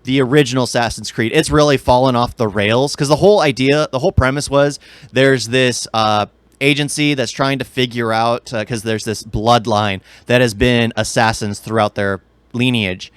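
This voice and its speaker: Mid-pitched man, rambling, in a dry space. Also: normal speed, smooth, no room sound. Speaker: podcast co-host. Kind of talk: casual chat about game movies.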